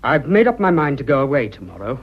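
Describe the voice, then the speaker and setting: An actor playing an earnest, awkward young English businessman in love, halting and self-conscious, in a period radio drama. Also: mid-range voice, very animated, narrow, tinny audio. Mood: tense, pleading. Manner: halting, self-conscious, blurting, clipped old-fashioned speech.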